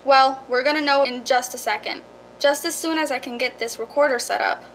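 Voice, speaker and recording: Actress doing a level-headed lady estate agent in a radio play, pretty high voice. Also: brisk, slight background noise, poor audio. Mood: calm, reassuring. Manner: calm, matter-of-fact, reassuring. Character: composed, practical female real estate agent. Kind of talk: radio drama acting.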